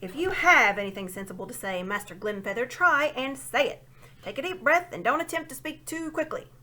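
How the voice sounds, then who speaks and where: A woman voicing a pompous, hard-of-hearing elderly dwarf lord in a storybook, booming and emphatic. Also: animated, fairly quick, pushed and bright, exaggerated voice. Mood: impatient. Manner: booming, emphatic, repeats himself, querying "eh?".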